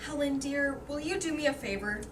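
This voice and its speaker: A woman's voice: high voice, fairly quick, lightly acted. A woman playing an eager, girlish young friend in a staged play reading. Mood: eager, pleading. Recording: distant mic, background noise.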